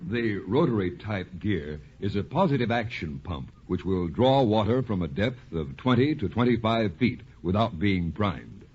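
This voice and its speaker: A man reads technical explanations in a deep, husky voice with lively intonation. It sounds like scripted 1940s radio, on a dull vintage recording. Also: a little slow, few pauses.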